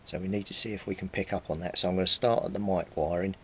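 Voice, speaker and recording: Deep-voiced man, informal repair narration. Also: fairly even intonation, fast talker, a bit bright. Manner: thinks aloud while working. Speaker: hobbyist radio repairer and video maker. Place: indoor cluttered home workbench. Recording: rumbly background noise.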